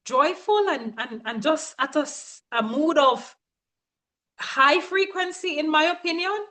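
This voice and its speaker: A woman's voice: sits high, measured pace, sharp tone. A woman coach giving a motivational teaching talk, speaking emphatically and often checking in with her listeners.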